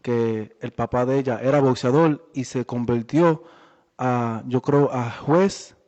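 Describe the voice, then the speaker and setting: A young man being interviewed about his boxing life, talking in a relaxed, halting way with many fillers. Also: medium pitch. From Worcester, Massachusetts area. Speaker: boxer, interview guest. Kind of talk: casual interview chat. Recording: dull lo-fi audio in a reverberant room.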